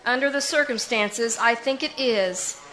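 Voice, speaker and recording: A woman playing a composed elder sister who speaks calmly and firmly, in a live 1940s-style radio drama. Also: a little high, unhurried, poor audio. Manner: calm, firm, pleading.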